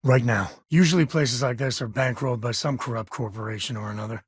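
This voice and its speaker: A man's voice: mid-range voice, fast talker, slightly rough, no echo, studio quality, lightly acted. An actor playing an easygoing, wry space-frontier ranger and protective father in a video game, with folksy, informal speech. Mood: serious, casual.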